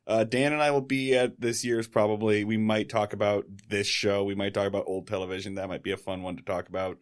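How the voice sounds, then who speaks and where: A man's voice: lowish voice, flat delivery, brisk, clear, resonant tone. A man co-hosting a podcast in casual chat, joking and rambling as he sets up the episode. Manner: joking, rambling, bursts of silly singing. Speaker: podcast co-host.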